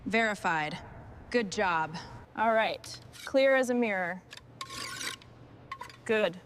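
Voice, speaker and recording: A woman's voice: medium pitch, expressive, slow, slight background noise. A woman giving short, procedural work commentary as she restores machinery.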